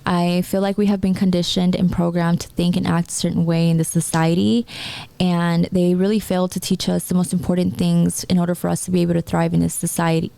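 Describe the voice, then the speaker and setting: Young woman with a low, creaky voice. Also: level tone, mellow, no echo. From born in Mexico, raised in the United States. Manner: halting, restarts her sentences repeatedly. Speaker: podcast host and entrepreneur. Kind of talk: personal monologue, casual talk to listeners.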